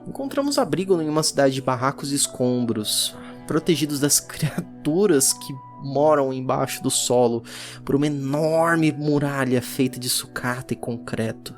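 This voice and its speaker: Mid-pitched voice, performer as curious and uneasy Victorian-era occult explorer, reflective journal narration, deliberate pauses, audio drama, music underneath. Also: animated, natural voice. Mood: reflective, intrigued.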